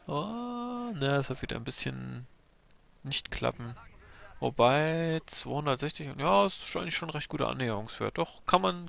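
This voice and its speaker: A man with a bad cold commentating a replay of his own strategy game match, explaining his moves in a relaxed way with fillers. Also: medium pitch, vocal fry.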